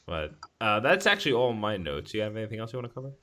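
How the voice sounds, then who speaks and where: A man with a slightly low voice talks casually as a podcast co-host. He discusses films in a halting way, doubling back to rephrase his thoughts as he goes. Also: sing-song, a bit bright. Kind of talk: casual film discussion chat.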